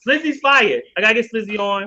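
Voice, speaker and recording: A man hosting a casual live video chat, rambling, laughing often and getting excited. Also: high voice, fast talker, a little hiss or hum. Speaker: show host. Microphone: video call. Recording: dull lo-fi video call audio.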